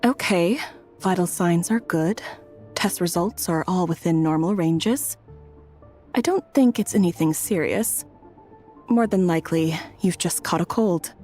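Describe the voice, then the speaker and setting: Actress as a female physician, lowish pitch, calm, courteous, reassuring, video game dialogue, soft music behind. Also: a little slow, close to an everyday voice.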